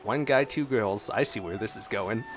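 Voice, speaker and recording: A man's voice: fairly low pitch, normal speed. Guy streamer doing casual game commentary, playful n chatty to himself, music playing behind. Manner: playful, expressive, talks to himself. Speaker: gaming streamer. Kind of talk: casual gameplay reaction commentary. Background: video game music.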